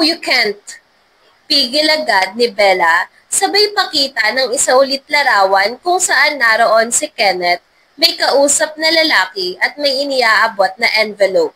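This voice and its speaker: A woman's voice: sits high, bright, forceful tone, slight background noise, rough recording. A woman reading a scripted dramatic story as storyteller.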